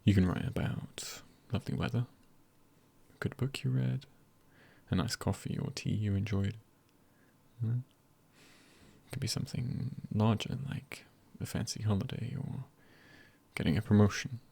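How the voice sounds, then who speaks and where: Soft asmr guy, husky n breathy, loads of gravelly fry, half whispering, unhurried phrases. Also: fairly low pitch, steady tone. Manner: hushed, often dropping into a whisper. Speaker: ASMR creator. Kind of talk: ASMR, soft-spoken encouragement.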